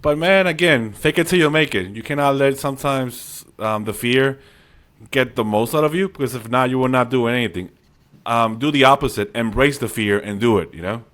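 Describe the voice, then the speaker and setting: Man who grew up speaking Spanish, mid-pitched, upbeat and encouraging interview guest. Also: brisk, fairly bright tone. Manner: upbeat, encouraging, says "you know" and "man" often. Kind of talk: casual interview chat.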